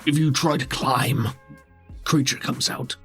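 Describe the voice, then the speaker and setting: A man's voice: mid-range voice, fairly even intonation, measured pace, a bit bright, husky, soft background music, exaggerated voice. A man voicing a terse, guarded cave dweller in a tabletop game, speaking in clipped, broken sentences.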